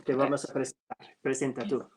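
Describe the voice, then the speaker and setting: A man whose first language is English, a writer in a casual chat over a video call, speaking slowly and hesitantly with many fillers. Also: medium pitch, level tone, darkish tone. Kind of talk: casual conversation.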